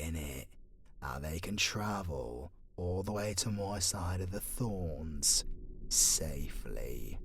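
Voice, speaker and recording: An actor playing a captive demon, defiant and gruff but tender, with a British accent and a hushed, breathy, colloquial delivery in an ASMR roleplay. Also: sits low, slight background noise, full character voice. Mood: bitter, defiant.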